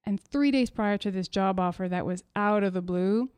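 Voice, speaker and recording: A woman's voice: medium pitch, moderate pace, a little mellow, clear, resonant tone, dry recording, studio quality. A woman in her thirties from Quebec, chatting casually as an interview guest, laughing often and acting out quotes. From Quebec, Canada. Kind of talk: casual conversation.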